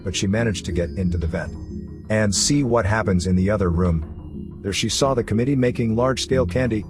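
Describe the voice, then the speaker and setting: A man's voice: on the low side, a little fast. A man reading a scripted story recap as a narrator. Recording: music running behind the voice. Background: background music.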